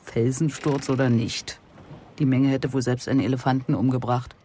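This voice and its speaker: An actor playing a matter-of-fact police colleague reporting findings in a radio crime drama, factual and unhurried. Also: male voice, medium pitch, level tone, dark tone, slight background noise, lightly acted.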